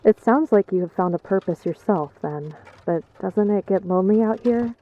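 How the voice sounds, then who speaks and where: A woman's voice: mid-range voice, normal speed, narrow, tinny audio, natural voice. A woman playing a guarded, brooding noblewoman in a fantasy audio drama, grave and restrained in her speech. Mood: polite, reserved. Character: somber, guarded young noblewoman, brooding on death.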